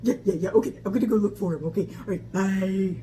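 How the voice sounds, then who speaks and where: A woman voicing an excitable, childlike animal puppet sidekick for kids, eager and silly, repeating words over and over. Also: medium pitch, fast, voice pushed down, lightly acted. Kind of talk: playful children's puppet banter. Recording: clear background noise.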